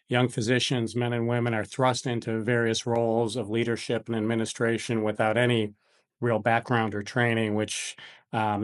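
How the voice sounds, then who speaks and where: A middle-aged American man, a physician from Buffalo, answering interview questions conversationally in hesitant, meandering phrases. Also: medium pitch. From Buffalo, New York, United States.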